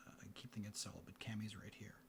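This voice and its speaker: A man hosting a board game in ASMR style, mixing soft speech and whispers as he murmurs an unhurried play-by-play. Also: on the low side, level tone. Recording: clear background noise. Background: room ambience, board game handling sounds.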